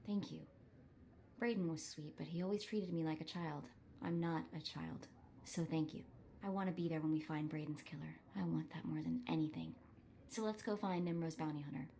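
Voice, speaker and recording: An actress voicing an eager, determined young streetwise sidekick in a cinematic video game, earnest and spirited. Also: female voice, sits low, animated, fairly quick, dark tone, a little hiss or hum.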